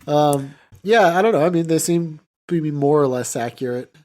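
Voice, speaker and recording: A man's voice: a little high, moderate pace, darkish tone. A man co-hosting a podcast in casual, understated, hesitant conversation. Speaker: podcast co-host. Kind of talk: casual chat.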